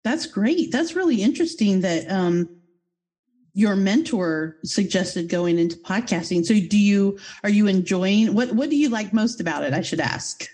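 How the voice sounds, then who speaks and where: A woman's voice: mid-range voice, animated, moderate pace, mellow. A woman hosting a podcast interview, effusive and a little goofy. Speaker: podcast host.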